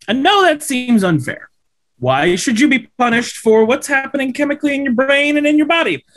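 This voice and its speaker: A man's voice: extremely high pitch, fairly bright tone, full character voice. A man in his thirties playing a slick, upbeat corporate representative lecturing schoolboys at a script table read. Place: indoors at home on a video call.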